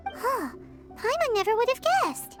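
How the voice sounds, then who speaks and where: A woman voicing a tiny, childlike, excitable fairy companion in a video game, chirpy and given to exclaiming. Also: very high voice, measured pace, soft background music, full character voice. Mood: surprised. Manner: speaks of herself in third person, exclaiming.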